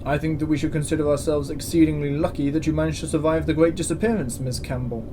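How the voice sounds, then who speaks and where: A man's voice: a little high, steady tone, fast, natural voice. Man playing stern space station commandant, noisy ambience. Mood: measured, grave. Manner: formal, authoritative, dry. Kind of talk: science-fiction audio drama acting.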